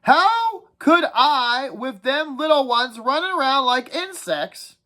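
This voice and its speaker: A woman reading a book aloud and voicing a whiny, anxious boy with glasses in a high, complaining voice. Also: sing-song, slow, bright, forceful tone, exaggerated voice. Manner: shrill, complaining, frantic. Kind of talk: storybook read-aloud.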